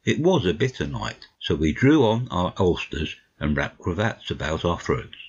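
A man's voice: sits low, big pitch swings, normal speed, breathy edge. A man narrating a literary audiobook from a script. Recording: slightly dull, lo-fi audio.

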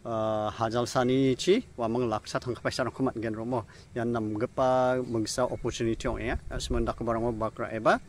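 A young man talks casually to his own phone camera outdoors by a country pond. He sounds chatty and keeps explaining things. His voice sits at a middle pitch over faint outdoor ambience. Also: level tone, moderate pace, slight background noise. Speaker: vlogger host. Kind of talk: casual outdoor vlog talk. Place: outdoors by a rural fish pond. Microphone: phone held at arm's length.

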